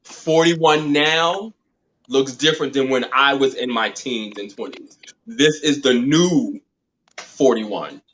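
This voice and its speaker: A man's voice: medium pitch, slow, a bit bright. Chatty man in his forties, muffled line. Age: 40s. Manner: chatty, laughs easily, trips over words. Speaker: panelist, drag performer. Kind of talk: casual panel chat.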